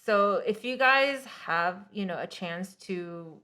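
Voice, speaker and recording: A woman's voice: medium pitch, lively intonation, slow pace, a crowd in the background. A woman co-hosting a podcast, chatting casually with lots of fillers like "like" and "you know", a Korean first-language speaker. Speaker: podcast co-host. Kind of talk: casual chat.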